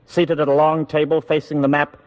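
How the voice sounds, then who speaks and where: A man's voice: fairly high pitch, level tone, brisk, a little mellow. A man with an American accent reading scripted 1940s wartime radio narration in a solemn, emphatic manner.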